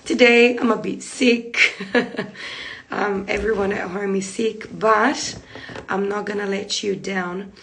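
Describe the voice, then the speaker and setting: A woman in her thirties with a hoarse voice from a cold, chatting through a live hair tutorial from a small room on a close phone camera. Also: mid-range voice, steady tone, slow pace, fairly bright tone, slight background noise. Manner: chatty, repeats points, gestures while talking. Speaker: hair treatment educator. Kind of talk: live-streamed tutorial talk. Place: small room indoors, seated at a desk. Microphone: phone camera close in front.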